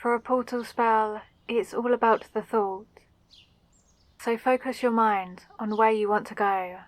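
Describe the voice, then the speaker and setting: A woman playing a kind, eager mage who teaches magic gently and encouragingly in a roleplay audio drama. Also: a little high, steady tone, a little slow, no echo, natural voice, rough recording.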